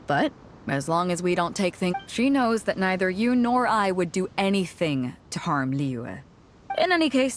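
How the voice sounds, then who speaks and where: A woman's voice: lowish voice, a little hiss or hum. An actress playing a confident, worldly pirate captain in a video game, assured and teasing as she explains things. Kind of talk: voiced video game dialogue. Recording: music behind the dialogue.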